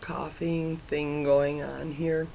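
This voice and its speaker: Middle-aged woman vlogger, deep and creaky, froggy from a cough, light hiss behind. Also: little pitch movement, takes it slowly, dark tone. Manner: hesitant, many ums, trailing off to near whispers. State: raspy cough, froggy throat. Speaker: cross-stitch vlogger. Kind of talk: casual chat to viewers.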